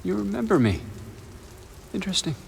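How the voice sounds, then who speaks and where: An actor playing a smug, condescending villain in a video game, speaking with formal, measured menace. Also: male voice, high voice, big pitch swings, gravelly, slight background noise, full character voice. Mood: mocking menace. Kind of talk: video game cutscene acting.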